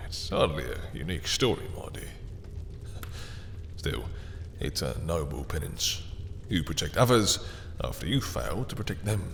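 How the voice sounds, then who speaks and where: A man's voice: sits low, creaky, long reverb tail, a little hiss or hum, full character voice. A man playing a dominant, obsessive vampire in an intimate roleplay audio drama, silky and mocking, with theatrical courtesy as he lingers over words. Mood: taunting. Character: dominant, obsessive male vampire taunting a captured hunter.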